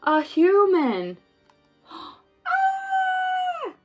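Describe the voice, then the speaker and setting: A young woman and mother reading a children's book aloud and then chatting gently with her child about it. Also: very high voice, drawn-out words, faint music behind. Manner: gentle, expressive, talks to the child.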